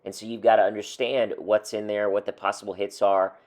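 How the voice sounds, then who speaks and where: A man getting over a cold, calmly walking viewers through his rules for a hobby video. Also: lowish voice, fairly quick. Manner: steady, instructive, counts off rules. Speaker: trading card hobby channel host. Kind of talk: scripted advice to camera.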